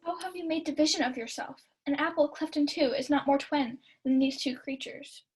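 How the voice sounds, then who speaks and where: A woman's voice: sits high, a little fast, lightly acted. A young woman playing a lovesick, angry Duke in a declamatory Shakespeare radio play. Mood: astonished. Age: young adult. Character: lovesick, wounded, angry Duke, a grown man.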